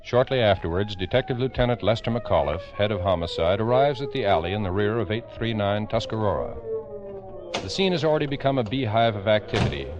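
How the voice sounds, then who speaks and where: An actor as a grave, formal narrator of a scripted old-time radio crime drama. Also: male voice, lowish voice, expressive, a little fast, natural voice. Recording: dull vintage radio recording.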